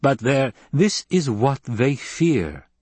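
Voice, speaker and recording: A man's voice: medium pitch, sing-song, takes it slowly, slightly rough, dry recording, pitched above the performer's own voice, heavily acted. A male narrator voicing a proud young nobleman who speaks with commanding force. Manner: imperious, forceful delivery.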